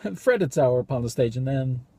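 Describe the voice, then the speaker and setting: A man performing a Shakespearean soliloquy as a despairing, crazed king, halting and overwrought. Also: medium pitch, animated, dark tone, pitched above the performer's own voice. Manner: halting, overwrought, drawn-out words.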